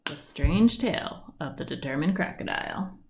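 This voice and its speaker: A woman reading a children's picture book aloud, with deliberate phrasing, stretched words and lilting lists. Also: deep voice, animated, gravelly, noisy background. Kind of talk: read-aloud storybook narration. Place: indoors at home, at a wooden table by a window.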